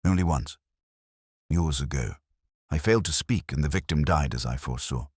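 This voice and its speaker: A man's voice: a bass voice, normal speed, darkish tone, slightly rough, no room sound, studio quality. A man voicing a solemn, burdened clairvoyant with a grave, formal manner in a storybook mystery.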